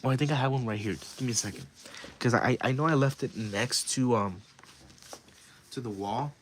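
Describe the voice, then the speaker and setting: A man hosting a casual livestream, rambling with lots of fillers, his voice raspy and hoarse from talking nonstop. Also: medium pitch, normal speed, fairly bright tone, slight background noise. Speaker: livestream host and wrestling video creator.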